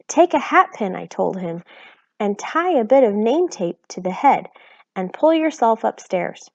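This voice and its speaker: A woman's voice: mid-range voice, dry recording. A woman voicing a fretful, tearful little borrower mother with an anxious, quavering way of talking. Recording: slightly dull lo-fi recording.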